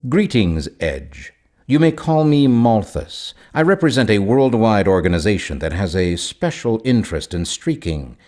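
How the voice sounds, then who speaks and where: A man's voice: fairly low pitch, very animated, unhurried, a little mellow. A man playing a secretive, imperious agent of shadowy investors in a video game, formal and pompous in his speech. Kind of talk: video game character dialogue.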